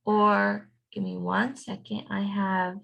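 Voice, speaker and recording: A woman speaking to children as a teacher, explaining a craft activity in an animated, simple way. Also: medium pitch, slow, vocal fry, lo-fi sound. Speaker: teacher reading aloud and leading a children's activity. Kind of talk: children's storybook read-aloud and craft instruction.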